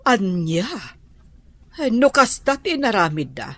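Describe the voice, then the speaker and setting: A woman's voice: mid-range voice, very animated, takes it slowly, no echo. An actress playing a sensible, matter-of-fact woman in a radio drama. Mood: practical.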